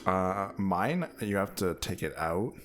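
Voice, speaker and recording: A man's voice: sits low, animated, slow, a little hiss or hum. A man co-hosting a gaming podcast in casual chat among friends.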